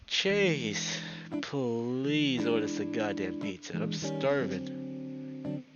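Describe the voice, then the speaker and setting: A man voicing a crude, tipsy young ram slacker from a visual novel, slangy and whiny, with drawn-out swearing. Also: mid-range voice, very animated. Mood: brash, demanding. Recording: dull lo-fi audio under loud guitar music. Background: loud plucked guitar music.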